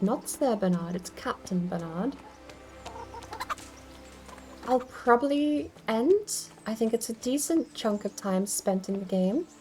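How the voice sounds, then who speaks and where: A woman's voice: a little high, dark tone. A woman streaming a game from home, rambling and thinking aloud as she plays. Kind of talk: casual gameplay commentary.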